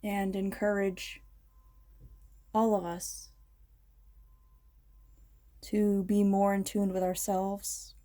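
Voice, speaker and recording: A woman leading a guided meditation in an ASMR style, speaking in a ceremonial, measured and soothing manner. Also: medium pitch, level tone, dark tone.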